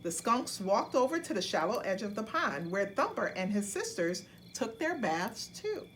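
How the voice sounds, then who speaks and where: A woman narrating a children's bedtime story in an expressive, deliberate storytelling manner. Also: mid-range voice, slight background noise.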